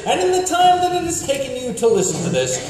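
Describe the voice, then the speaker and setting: A man's voice: very high voice, wildly swooping pitch, fast talker, darkish tone. A man narrating a comic radio drama theatrically, with mock-serious, playful emphasis. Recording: very echoey hall, distant, faint noise.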